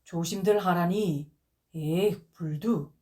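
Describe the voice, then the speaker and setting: A woman voicing a gruff man who grumbles curt remarks. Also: on the low side, slow pace, dark tone. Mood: grumbling.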